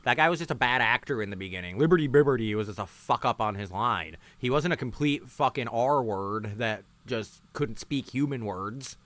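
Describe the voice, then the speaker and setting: A man's voice: medium pitch, lively intonation, moderate pace, few pauses, a bit bright. A man hosting a livestream, giving casual, rambling reaction commentary in a blunt, sarcastic, sweary manner. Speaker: livestream host.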